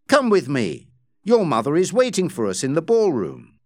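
A man playing a firm, protective gentleman in a storybook, with an admonishing manner. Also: on the high side, huge pitch swings, moderate pace, dry recording, clean studio sound. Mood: stern.

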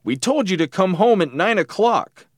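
Man reading as a firm, mildly scolding dad, animated. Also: mid-range voice, normal speed, no room sound, studio quality. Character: stern but not very angry father.

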